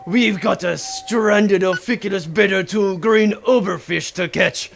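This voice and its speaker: A man's voice: high voice, unhurried, vocal fry, music in the background. A man playing a blustering space pirate captain in a comic sci-fi audio drama, bombastic and given to archaic pirate talk. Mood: blustering, gloating.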